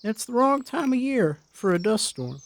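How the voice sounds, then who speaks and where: A man voicing a frail, anxious elderly wife with a creaky voice in an audio story. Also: sits high, very animated, normal speed, pitched above the performer's own voice, full character voice.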